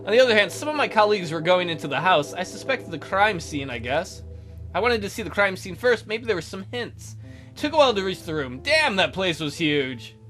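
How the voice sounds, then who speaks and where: Man playing a bright-voiced, polite detective. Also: high voice, sing-song, a little fast, soft background music. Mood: inquisitive. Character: polite, awkward male detective narrating a murder case.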